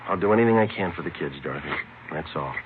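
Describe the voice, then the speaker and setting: Deep, clipped deadpan radio detective. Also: male voice, fast talker, a little hiss or hum. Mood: blunt, stern. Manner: deadpan, clipped short sentences. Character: blunt, terse, no-nonsense male police detective narrating cases. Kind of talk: 1940s-50s radio crime drama, clipped narration. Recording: narrow-band old radio recording, music behind.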